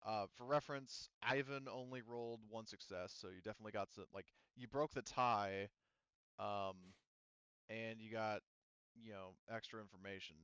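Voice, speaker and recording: Clear-voiced man game master, slightly muffled. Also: fairly low pitch, a bit bright, no room sound. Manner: halting, explanatory, hedging with asides. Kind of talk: improvised tabletop game narration and rules talk. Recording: muffled, dull microphone sound.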